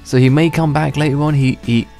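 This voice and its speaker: A man's voice: a little high, fairly even intonation, a little fast, creaky, mellow. A young man giving casual, rambling commentary while playing a game, restarting his sentences and chuckling to himself, his voice a little worn from being unwell. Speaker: gaming channel host. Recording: faint background music under voice.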